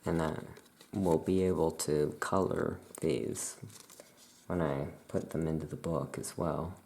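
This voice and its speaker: Slow, low, creaky soft-spoken ASMR voice. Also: a little hiss or hum. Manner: soft, unhurried, calm, with long pauses. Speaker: ASMR host playing a Salem witch shopkeeper.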